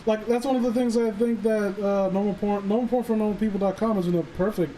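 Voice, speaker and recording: A man chatting and reading aloud on a casual livestream, halting and sardonic, with some swearing. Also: sits high, fairly even intonation, mellow, a little hiss or hum, poor audio. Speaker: streamer reading stories aloud. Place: voice call livestream.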